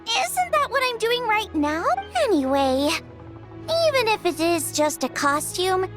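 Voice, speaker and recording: Friendly teenage restaurant cook: high, youthful, animated, upbeat game voice. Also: female voice, measured pace, loud music behind the voice, heavily acted. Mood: amused. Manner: upbeat, welcoming. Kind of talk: video game voice acting.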